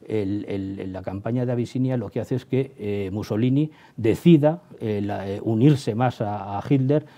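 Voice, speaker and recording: Mellow-voiced Spanish man, halting academic explainer. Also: on the low side. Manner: halting, searching for words, seeking agreement with tag questions. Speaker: history professor, interviewed guest. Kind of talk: explanatory historical commentary in an interview.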